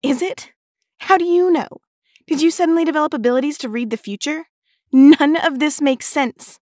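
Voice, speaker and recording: A woman's voice: high voice, normal speed, darkish tone, no room sound, clean studio sound, close to an everyday voice. A woman playing a hurt, stubborn teenage schoolgirl heroine in an audio drama, blunt and emotional. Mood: sulky, upset.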